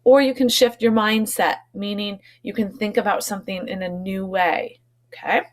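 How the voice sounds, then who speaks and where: Woman teaching children, mid-pitched voice. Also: steady tone, normal speed. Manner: instructive, often checks in with short tag questions. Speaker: elementary school teacher. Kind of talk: explanatory vocabulary lesson for children.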